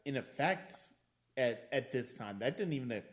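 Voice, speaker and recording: A man hosting a casual film discussion, halting and repeating phrases as he thinks. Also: mid-range voice, lively intonation. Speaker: podcast host.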